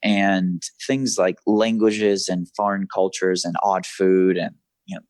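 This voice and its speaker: A man in his thirties, a military veteran and freelance writer, answering interview questions in a measured, thoughtful way with many fillers and restarts. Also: sits low, little pitch movement, a little mellow, no echo. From grew up in Southeast Asia.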